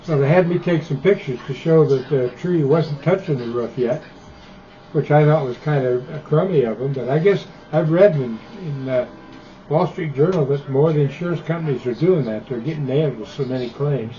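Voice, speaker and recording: Mellow older man on muffled radio. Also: fairly high pitch, normal speed, slight background noise. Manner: easygoing, conversational. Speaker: ham radio operator. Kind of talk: casual radio chat. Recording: dull, muffled radio audio.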